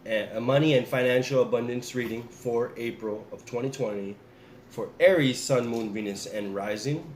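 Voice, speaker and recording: A man's voice: medium pitch, moderate pace, reverberant, a little hiss or hum, low-quality recording. A man giving a casual tarot reading, talking straight to his viewers while getting over the flu. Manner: relaxed, repeats and restarts, addresses viewers directly. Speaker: tarot reader.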